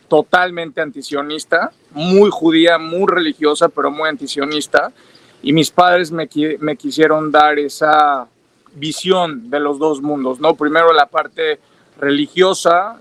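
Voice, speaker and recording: A man's voice: on the high side, a bit bright. A Mexican man giving long, reflective interview answers, hesitating often with fillers and restarts. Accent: Mexican Spanish. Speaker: interview guest.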